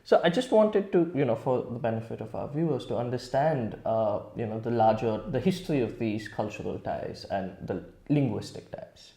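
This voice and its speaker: A man with a mellow, dark voice hosts a news interview. He reads a formal introduction, then asks his questions with some hesitation and repeated fillers. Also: mid-range voice, lively intonation, moderate pace, gravelly, few pauses. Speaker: interviewer, host.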